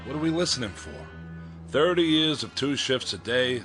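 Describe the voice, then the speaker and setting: A man's voice: mid-range voice, expressive, moderate pace, fairly bright tone, faint music behind, lightly acted. A man acting in a radio drama as a bitter, defiant doubter, sarcastic and challenging.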